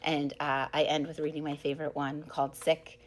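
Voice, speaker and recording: A woman's voice: sits low, level tone, fairly quick. A middle-aged woman, an enthusiastic teacher, giving a casual, giggly book talk to camera. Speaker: second grade teacher presenting book recommendations.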